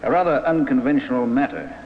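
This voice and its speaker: An actor playing a respectful, matter-of-fact police sergeant in a 1950s radio drama. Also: male voice, medium pitch, lively intonation, brisk, close to an everyday voice. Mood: dutiful. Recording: tinny, hissy, reverberant vintage radio recording.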